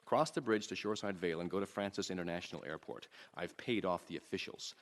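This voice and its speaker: A male actor voices a calculating, moneyed crime patron in a video game briefing. His voice sits a little low. He talks with measured, businesslike pomposity. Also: close to an everyday voice.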